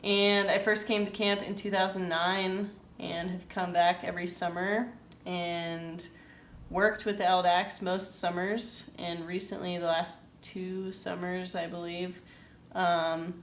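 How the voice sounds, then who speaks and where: Camp leader woman, low flat voice, echoey room w/ faint hum. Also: slow. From United States. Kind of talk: casual self-introduction.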